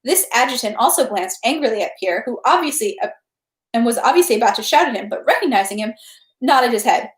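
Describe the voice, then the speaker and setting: Woman, read-aloud host, higher-pitched, expressive narration, animated, roomy echo. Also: brisk. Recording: echoey room.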